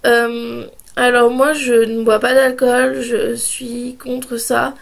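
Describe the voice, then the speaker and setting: A woman in her early twenties, hoarse from a sore throat and a cold, talking in a hesitant casual vlog with many pauses and restarts. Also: on the high side, little pitch movement. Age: 20s. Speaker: vlogger.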